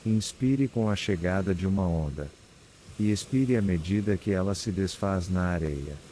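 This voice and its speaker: A man with a Brazilian accent guides a scripted meditation in a calm, soothing, unhurried manner with long pauses. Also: sits low, slight background noise, low-quality recording. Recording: clear background ambience of ocean waves. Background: sea waves ambience.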